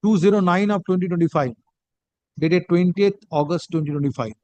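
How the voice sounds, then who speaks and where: A man with a Bengali Indian accent speaking as a judge, dictating a court order in halting, deliberate phrases and calling out punctuation. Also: high-pitched, dark tone, dry recording, muffled recording.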